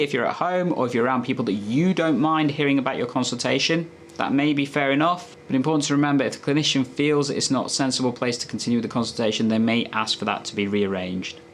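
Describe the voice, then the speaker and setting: A man's voice: medium pitch, a bit bright, a little hiss or hum. A man presenting health tips as a doctor, explaining in a conversational, instructive way to viewers.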